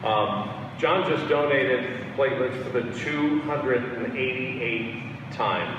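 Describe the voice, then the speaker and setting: A man's voice: medium pitch, takes it slowly. A man hosting an event, introducing a speaker in a jovial, hyped-up way. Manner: jovial, hyping the honoree. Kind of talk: public introduction. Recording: echoey hall, distant mic, crowd and noise.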